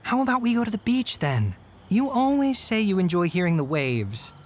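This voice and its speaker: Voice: mid-range voice, very animated, moderate pace. An actor playing a hapless, scheming young male vampire suitor in a comedy horror audio drama, with coaxing, theatrical delivery. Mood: persuading.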